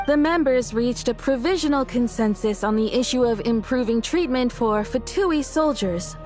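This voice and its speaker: Game role: woman as gossip-hungry female reporter, highish animated voice, dramatic and insinuating, music underneath. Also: moderate pace, lightly acted. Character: theatrical, gossip-hungry, gleefully scheming female tabloid reporter. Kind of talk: scripted video game acting, dramatic reporting.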